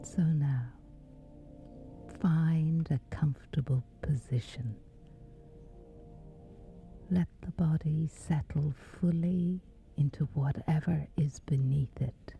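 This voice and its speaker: A woman guiding a sleep meditation in a hushed, breathy near-whisper, pausing between short phrases. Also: deep voice. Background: background music.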